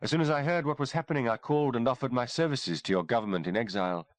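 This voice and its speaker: A man voicing a calm, clinical South African woman doctor with a semi-posh English accent that has South African under it, faintly mocking. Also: medium pitch, quick pace, dry recording, voice pushed up, natural voice. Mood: composed. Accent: semi-posh English over South African.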